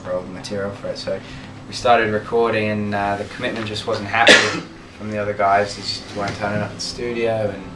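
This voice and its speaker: A young man from Birmingham talks casually in an interview, steady and measured with many fillers, as band leader. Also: fairly low pitch, very noisy. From Birmingham, England. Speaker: band leader, guitarist and singer. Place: band label office. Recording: roomy office, distant mic, music behind.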